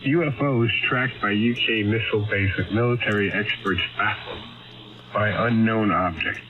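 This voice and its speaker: Male ham radio operator, slightly low voice, casual chat, radio audio, clear background chatter. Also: normal speed, dull audio.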